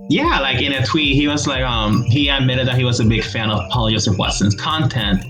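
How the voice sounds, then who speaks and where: A man from Puerto Rico with a Puerto Rican accent, chatting casually as a guest, animated and laughing often, with many "like" fillers. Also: medium pitch, brisk, over loud music. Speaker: guest YouTuber. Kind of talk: casual video-call conversation.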